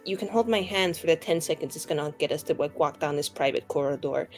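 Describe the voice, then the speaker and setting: A woman's voice: sits low, quick pace, faint music behind, voice pushed down. Lady doing a flustered bossy mage girl in a tabletop rpg, haughty, teasing, scolds a lot. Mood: awkward bossy. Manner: blustering, scolding, self-correcting.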